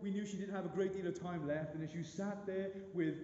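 A pastor in his thirties with a big booming voice, preaching an earnest, emphatic sermon full of rhetorical questions. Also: fairly even intonation, a little fast, dark tone. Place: church hall. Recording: dull echoey hall recording.

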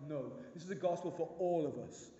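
Booming, mellow-voiced preacher man in echoing hall. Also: fairly quick. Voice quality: big booming voice. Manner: earnest, emphatic preaching with rhetorical questions. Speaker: pastor. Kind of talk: sermon. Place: church hall. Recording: dull echoey hall recording.

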